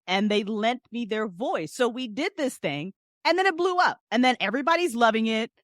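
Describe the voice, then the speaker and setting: A woman's voice: a little high, expressive, moderate pace, fairly bright tone, no room sound, clean studio sound. A woman in her forties chatting casually as a podcast guest, talking in an informal, slangy, emphatic way. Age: 40s. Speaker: podcast guest, audio drama creator. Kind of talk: casual chat.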